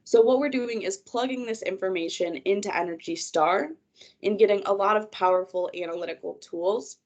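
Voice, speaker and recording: A woman presents a webinar over a video call, her voice congested from a cold. She keeps an organized manner as she guides listeners through her slides, at a middle pitch. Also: moderate pace, darkish tone. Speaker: project manager presenting a webinar.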